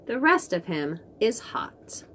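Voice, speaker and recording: A woman reading a picture book aloud to young children, slow and expressive, then chatting about the books. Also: mid-range voice, a little hiss or hum. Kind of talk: read-aloud storytime for young kids.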